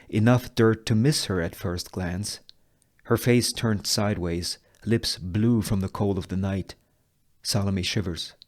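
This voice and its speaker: A man's voice: lowish voice, a little mellow. A man reading screenplay stage directions as narrator, in a scripted narration style.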